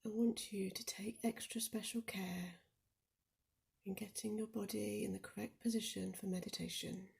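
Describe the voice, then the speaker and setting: A woman leading a guided meditation in a soft, soothing, often near-whispered voice, speaking deliberately with gentle pauses. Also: mid-range voice, steady tone, vocal fry. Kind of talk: guided body scan meditation.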